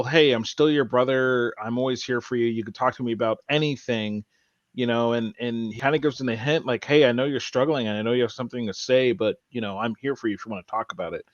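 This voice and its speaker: A man with a mid-range voice talks through a show as a podcast co-host. He explains in a halting, think-aloud way. The sound is dry and slightly dull, with very little room in it. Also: medium pitch, no room sound. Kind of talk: casual discussion of a TV show.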